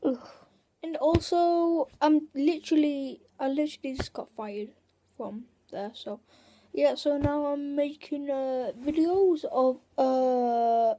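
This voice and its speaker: Young woman, high voice, slow halting delivery, comic self-pitying monologue. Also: warm tone. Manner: rambling, halting, many fillers and restarts. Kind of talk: comedic sketch monologue in character.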